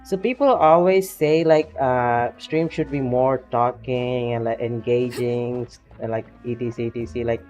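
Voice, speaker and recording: A man with a non-native English accent talks his way through a game stream, pausing and grabbing fillers. His voice is mellow and mid-pitched. Faint game music plays in the background. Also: fairly even intonation, lo-fi sound. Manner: halting, filler-heavy, self-conscious. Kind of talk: casual live game commentary.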